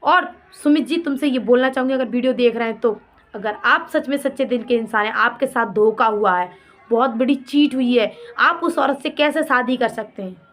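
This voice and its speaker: A woman with a fairly high voice speaks as a vlogger in an unscripted rant. She sounds indignant and scolding, firing off rhetorical questions and repeating phrases for emphasis. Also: normal speed. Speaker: vlogger commenting on a personal drama. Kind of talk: unscripted opinionated rant addressed to absent people.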